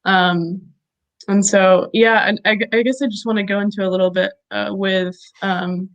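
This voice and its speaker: A woman's voice: mid-range voice, fairly even intonation, moderate pace, lo-fi sound. A woman hosting a conversational interview, asking questions hesitantly with many "um"s and restarts. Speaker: interview host, parent project lead.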